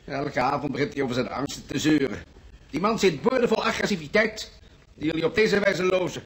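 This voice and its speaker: Man doing sarcastic know-it-all hospital patient in a radio drama, high pitched, lecturing. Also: expressive, brisk, lightly acted. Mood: mocking. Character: scornful, pedantic long-term male hospital patient, needling others.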